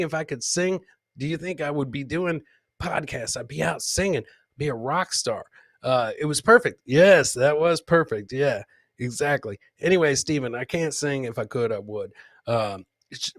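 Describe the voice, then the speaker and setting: A man's voice: a little high, a bit bright, no room sound, clean studio sound. A man hosting a comedy podcast with casual, jokey live commentary, often repeating phrases for effect. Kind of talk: casual comic live-stream commentary, reading chat comments aloud.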